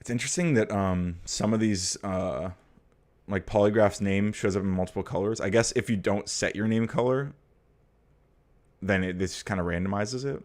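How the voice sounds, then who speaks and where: A young man from the United States with a very low, deep voice hosts a casual livestream. He rambles through his points with plenty of fillers and restarts, and turns blunt and profane when a topic riles him. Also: normal speed, darkish tone. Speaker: livestream host. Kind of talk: casual political chat, reading viewer comments.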